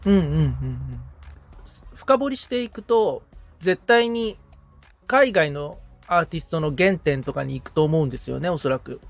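Agreeable man with a higher-pitched voice. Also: lively intonation, moderate pace, vocal fry, soft background music. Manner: agreeable, attentive. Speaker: podcast assistant receiving advice. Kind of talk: casual podcast chat with frequent listening responses.

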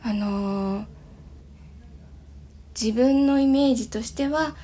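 A woman chatting casually and politely in a soft, breathy voice, with gentle laughs, as the host of a morning audio show. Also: mid-range voice. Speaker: voice actor and audio channel host.

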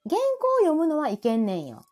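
Woman, Kansai accent, high-ish animated voice, talkative, casual livestream banter. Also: a little fast. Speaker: host of an audio livestream.

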